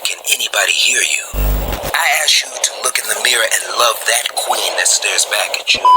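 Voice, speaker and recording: A man hosts a radio monologue with a medium-pitched voice. He is earnest and deliberate, like a motivational address spoken straight to the listener. There is clear noise and ambience around the voice. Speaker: radio host. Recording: noisy radio-style recording with ambience.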